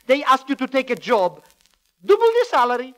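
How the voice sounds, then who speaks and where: Excitable schemer, foreign phrasing, very up and down, old 1940s radio comedy recording. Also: male voice, very high-pitched, a little fast, full character voice. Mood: sly, gleeful. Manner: wheedling, sing-song, foreign-English phrasing. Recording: vintage 1940s radio broadcast.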